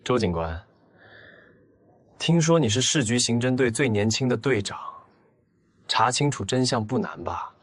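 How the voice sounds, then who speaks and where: An actor playing a scheming young male student who feigns innocence, politely naive yet knowing, in an animated drama. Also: medium pitch, measured pace, long pauses, husky. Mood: sly, confident. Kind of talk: Chinese animated audio drama acting.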